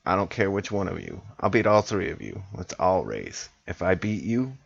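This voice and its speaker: A man's voice: deep voice, sing-song, moderate pace, gravelly, slightly rough. A man taking part in a tabletop roleplaying game, improvising casually in character, on a slightly dull lo-fi recording.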